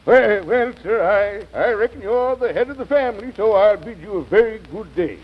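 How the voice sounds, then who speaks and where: An actor playing a folksy, sheepish country judge with a Southern American accent in an old radio comedy. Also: male voice, sits high, lively intonation, moderate pace, breathy edge. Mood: courteous. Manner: folksy, drawling colloquial talk with chuckles. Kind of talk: 1940s radio comedy acting. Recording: dull, lo-fi old radio recording.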